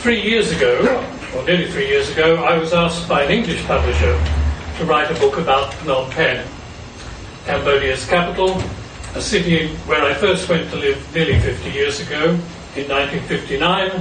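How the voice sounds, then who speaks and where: An older man with an Australian accent giving a measured, prepared lecture as guest speaker at a forum. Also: on the high side. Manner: measured, formal delivery. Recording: roomy hall, distant mic, noise.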